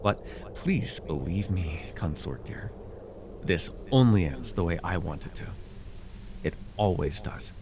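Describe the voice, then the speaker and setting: A scheming dark sorcerer ruler, acted by a man, speaks in a low and very animated voice over noticeable background noise. He is smug, coercive and possessive, talking down to the listener with theatrical pauses and sighs in an immersive fantasy roleplay. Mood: quiet menace.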